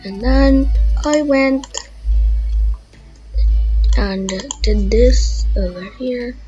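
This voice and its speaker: A woman hosting a casual software tutorial, talking informally and thinking aloud as she demonstrates. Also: a little high, drawn-out words. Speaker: tutorial host. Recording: dull lo-fi mic, background music.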